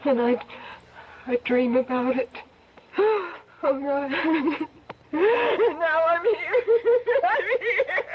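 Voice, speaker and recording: Exhausted, terrified woman in vintage radio serial: high, plaintive, melodramatic; very noisy old recording. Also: drawn-out words, long pauses, dull audio, full character voice. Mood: despairing, tearful. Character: exhausted, terrified woman trapped in a nightmare, breaking down. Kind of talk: old-time radio drama acting.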